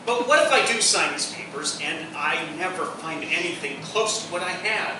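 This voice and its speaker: A heavily reverberant live recording with clear noise. An actor plays a stubborn, wounded romantic in stage radio drama style, heard at a distance from the microphone. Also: mid-range voice, expressive, normal speed, lightly acted. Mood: doubtful, hurt. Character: heartbroken, stubborn romantic clinging to a soulmate.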